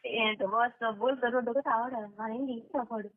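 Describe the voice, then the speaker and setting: A woman's voice: on the high side, steady tone, fairly quick. A woman, chatting casually. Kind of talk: casual phone chat. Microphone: phone line. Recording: narrow, tinny phone call recording.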